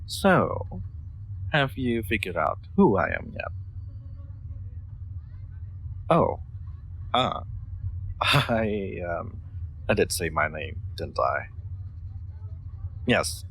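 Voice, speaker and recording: Male actor as soft-mannered aristocrat for audio drama: low, slow, gravelly edge, awkwardly polite, formal phrasing. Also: slight background noise, exaggerated voice, rough recording. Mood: bashful, amused. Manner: hesitant, polite, formal wording with stammered ums. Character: gentle, awkward young lord, courteous automaton owner. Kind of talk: scripted audio roleplay, intimate one-sided dialogue.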